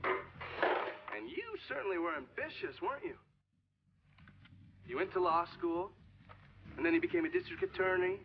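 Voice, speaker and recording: A man playing a vengeful, bitter Vietnam veteran in a game cutscene, deliberate, sardonic and profane. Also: a little high, dull audio, slight background noise. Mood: accusing, sardonic. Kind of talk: dramatic video game cutscene monologue.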